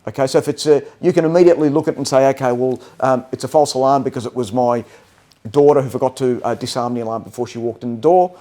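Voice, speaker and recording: A man presenting technical product training in a halting way, often stopping to check that listeners follow. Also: mid-range voice, fairly even intonation, dark tone.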